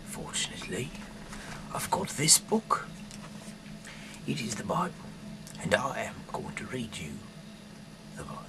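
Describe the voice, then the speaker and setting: A man reads aloud informally, rambling in a halting, bumbling, irreverent way, in an amateur home recording. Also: medium pitch, very animated, noisy background. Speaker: amateur reader of scripture, self-styled rock singer.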